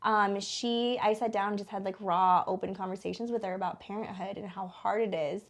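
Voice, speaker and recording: A woman's voice: mid-range voice, steady tone, brisk. An American woman in her thirties speaking informally as an interview guest, in a chatty way with lots of casual filler words like "like". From born in the Philippines, raised in Denver from age eight. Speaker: interview guest, small business co-owner. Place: small studio.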